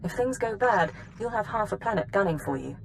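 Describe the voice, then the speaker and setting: A woman playing a composed, efficient intelligence analyst in a video game, giving briefings in a crisp, businesslike manner. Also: medium pitch, expressive, brisk, noisy background.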